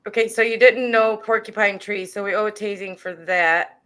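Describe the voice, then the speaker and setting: A woman's voice: mid-range voice, steady tone, moderate pace, a bit bright. A woman co-hosting a livestream in casual chat, matter-of-fact as she keeps tally. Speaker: livestream co-host.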